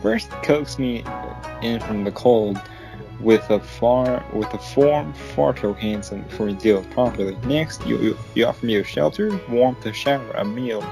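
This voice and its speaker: A man acts out a flustered cowboy hunter with a folksy, playful grumble. He rambles and stumbles through his complaints in an amateur fan fiction reading, with music clearly behind him. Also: mid-range voice, normal speed, natural voice. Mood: mock grievance.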